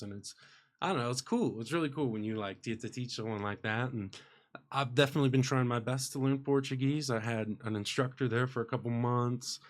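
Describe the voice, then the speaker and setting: A young man from the United States hosts a podcast from a radio station studio, talking into a studio mic. He rambles in an easygoing, upbeat way and leans on fillers as he goes. His voice sits at an ordinary middle pitch for a man. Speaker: podcast host. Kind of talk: casual chat. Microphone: studio microphone with headphones.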